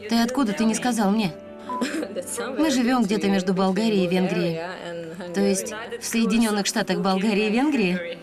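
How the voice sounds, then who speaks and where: A woman reads a voice-over translation in a clear, plain way. Her voice sits at an ordinary middle pitch for a woman. Music plays clearly behind her. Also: normal speed, long pauses. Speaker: voice-over interpreter. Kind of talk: dubbed self-introductions, read evenly. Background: background music.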